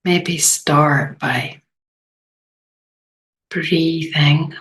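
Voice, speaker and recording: Very slow, low-pitched woman guiding meditation. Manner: gentle, unhurried, long pauses. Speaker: meditation guide. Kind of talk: guided meditation.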